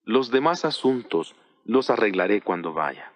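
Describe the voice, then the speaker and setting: A man playing an earnest apostle instructing his church in a solemn, measured, rhetorical dramatized audio Bible reading. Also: lowish voice, sing-song, lo-fi sound. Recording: voice over background music. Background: music.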